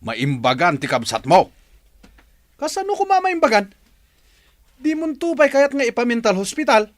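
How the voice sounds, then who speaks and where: A man's voice: very high-pitched, big pitch swings, normal speed, no echo. A man playing an angry, impatient adult son in a village radio drama, agitated and accusing. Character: angry, impatient adult son, harsh toward his troubled sister.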